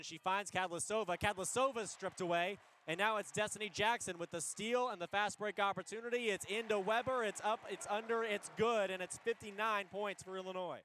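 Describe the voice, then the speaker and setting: A man giving live radio play-by-play of a basketball game in an energetic, descriptive way, lifting his voice on big plays. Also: high voice. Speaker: play-by-play radio sports announcer.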